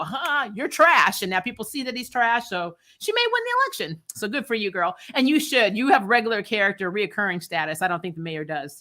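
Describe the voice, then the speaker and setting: A woman hosting a recap show, giving casual, opinionated TV review chat in an animated, blunt, exasperated way with plenty of swearing. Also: mid-range voice. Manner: animated, exasperated, blunt and profane, mock-scolding; laughs often while talking.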